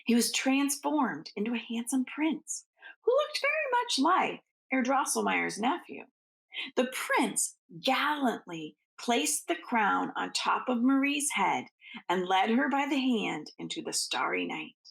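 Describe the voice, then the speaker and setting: A woman reading a children's story aloud like a teacher, expressively, with drawn-out phrasing and hushed asides. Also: fairly high pitch, very animated, vocal fry, poor audio.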